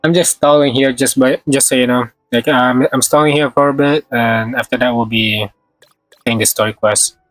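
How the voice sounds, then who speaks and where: A man's voice: mid-range voice, steady tone, normal speed, a bit bright, dry recording, faint music behind. A man chatting casually on a game livestream, thinking aloud in short, hesitant fragments. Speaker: game streamer. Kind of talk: casual livestream gameplay chat.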